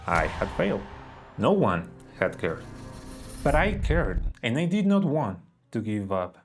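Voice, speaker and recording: A man's voice: mid-range voice, very animated, a little slow. A man narrating a personal story for his video channel, earnest and reflective. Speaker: vlogger. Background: soft guitar music.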